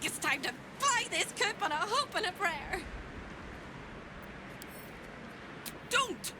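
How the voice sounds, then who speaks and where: A woman's voice: very high-pitched, very animated, moderate pace, background noise. An actress playing a frightened, unhinged captive woman in a video game, chirpy and theatrical, with erratic delivery. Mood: urgent, frantic. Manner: theatrical, erratic, swinging from singsong to hushed. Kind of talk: video game character acting.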